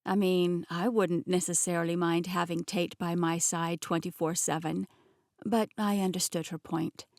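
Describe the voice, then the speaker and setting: A young adult woman narrating a first-person novel in a clear, expressive storytelling manner, recorded on a close studio mic. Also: lowish voice, moderate pace, dry recording. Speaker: audiobook narrator.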